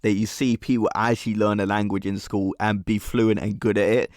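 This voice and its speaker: British guy reacting to stuff, lowish voice, really dry close sound, chatty and rambles a bit. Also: flat delivery, a little fast, a little mellow, dry recording. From United Kingdom. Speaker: reaction video commentator. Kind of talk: casual off-the-cuff commentary.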